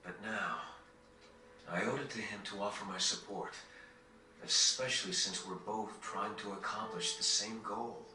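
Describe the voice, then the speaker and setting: Low-voiced earnest young prince, echoey. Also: male voice, fairly even intonation, pushed and bright, a little hiss or hum. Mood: earnest, regretful. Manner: formal, measured, earnest. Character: earnest, dutiful young prince, measured and regretful. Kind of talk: dramatic video game voice acting. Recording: echoey, distant, over game music.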